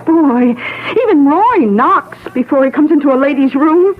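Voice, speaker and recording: An actress playing a crook's stubborn, frank wife in a classic radio drama, informal, expressive and doubting. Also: female voice, high voice, normal speed. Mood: incredulous. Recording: tinny, noisy old radio recording.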